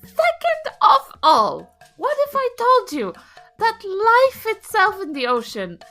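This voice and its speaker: A woman's voice: extremely high pitch, wildly swooping pitch, slow pace, sharp tone, faint music behind, voice pushed up, heavily acted. A woman voicing an indignant, grumpy old grandmother with a haughty, emphatic, grandstanding manner in a tabletop game. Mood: righteous indignation.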